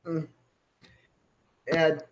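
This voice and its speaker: An excitable American man laughing and swearing in casual stream chat. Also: a little high, very slow.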